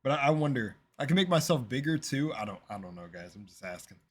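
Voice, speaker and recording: A young man with a slight lisp, hosting a casual reaction video, rambling with lots of fillers and jumping between loud bursts and quiet mumbles. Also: mid-range voice, expressive, fast, husky.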